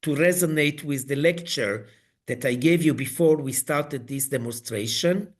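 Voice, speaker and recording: A man leading an online coaching webinar, speaking in slow, halting phrases. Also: fairly high pitch. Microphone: video call.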